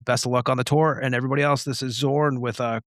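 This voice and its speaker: A man's voice: medium pitch, steady tone, fast talker, dry recording, studio quality. A man hosting a casual interview podcast, talking informally and with some swearing. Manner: informal, profane. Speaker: podcast host.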